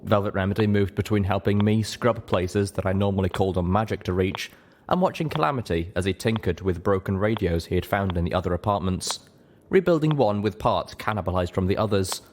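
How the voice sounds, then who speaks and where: A man with a low voice narrates a work of fiction. He reads in the first person, in a dramatic storytelling style suited to an audiobook. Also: a little fast, a little mellow, slight background noise. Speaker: story reader.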